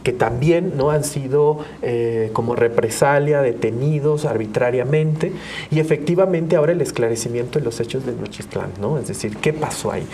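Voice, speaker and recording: A man's voice: medium pitch, moderate pace, mellow. A man with a Mexican accent speaking as a human rights advocate in a panel discussion, earnest and explanatory, often rephrasing himself. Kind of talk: spoken commentary in a panel discussion. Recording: clear background noise with room ambience.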